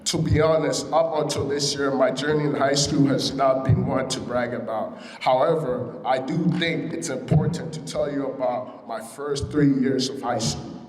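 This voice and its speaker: A young man from Ghana, a student speaker, reading an earnest prepared speech at a ceremony with small stumbles. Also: medium pitch. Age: young adult. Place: large hall at a school ceremony. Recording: echoey hall with long reverb.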